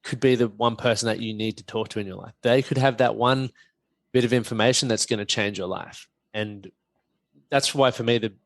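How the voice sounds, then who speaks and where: A man hosting a podcast, relaxed, upbeat and chatty. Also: medium pitch, a little fast, creaky, dry recording. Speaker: podcast host. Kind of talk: casual conversational interview.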